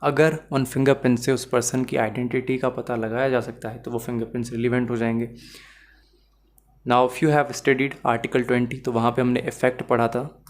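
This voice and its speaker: A man with a Pakistani accent teaching as a law lecturer, explaining step by step in a didactic way and slipping between Urdu and English phrases. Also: medium pitch. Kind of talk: explanatory lesson with worked examples.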